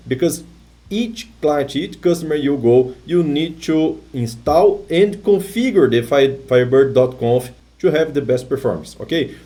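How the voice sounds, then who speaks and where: Man, English, mellow voice, walks through a tech tutorial, keeps asking "okay?". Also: fairly high pitch. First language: Portuguese. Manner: halting non-native English, tags sentences with "okay?". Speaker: software tutorial presenter. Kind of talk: screen-recorded tech tutorial.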